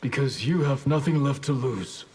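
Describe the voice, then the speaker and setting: An actor playing a composed, inquisitive martial artist in a fighting game, speaking formally. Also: male voice, mid-range voice, moderate pace, natural voice. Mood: calm, probing. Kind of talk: fighting game character dialogue. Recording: long reverb, clear background noise.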